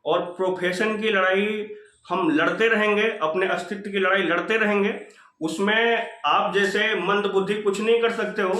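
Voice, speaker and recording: A man's voice: sits high, pushed and bright. A man hosting an informal motivational update, speaking earnestly and repetitively as he exhorts his listeners. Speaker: channel host addressing exam candidates. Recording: roomy, echoing sound.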